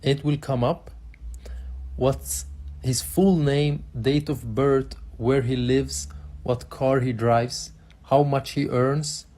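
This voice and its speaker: Dark-toned man, relaxed matter-of-fact explainer. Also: medium pitch, slow pace. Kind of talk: casual talk to camera. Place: Sweden.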